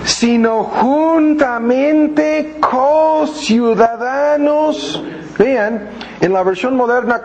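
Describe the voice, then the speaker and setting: A man's voice: very high voice, slight background noise, low-quality recording. A male pastor preaching a sermon, reading verses aloud and quizzing his congregation as he explains them word by word. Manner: explains word by word with frequent asides. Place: church hall with congregation.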